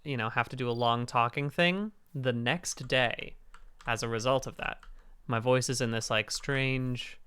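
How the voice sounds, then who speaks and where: Bright-voiced man, slightly hoarse, rambling. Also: fairly even intonation, unhurried, dry recording. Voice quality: hoarse, raspy low register. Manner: rambling, fills space with talk. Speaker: streamer on a charity game stream. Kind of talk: casual chat while gaming.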